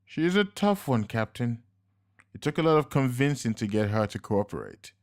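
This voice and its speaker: A man voicing a slickly dressed, coolly polite and menacing henchman, speaking slow, measured and smug. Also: mid-range voice, sing-song, a little mellow, voice pushed up. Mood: smooth.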